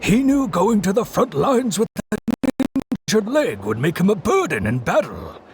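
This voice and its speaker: High-voiced, creaky, concerned villager man. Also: sing-song, normal speed, over music. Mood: sad, sympathetic. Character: concerned, sympathetic villager recounting a friend's past. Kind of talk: English video game dub acting.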